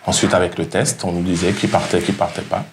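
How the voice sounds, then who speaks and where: A man's voice: low-pitched, little pitch movement, brisk. A man of forty from Guiana, giving a personal testimonial. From French Guiana. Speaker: programme participant, salesman. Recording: faint background noise.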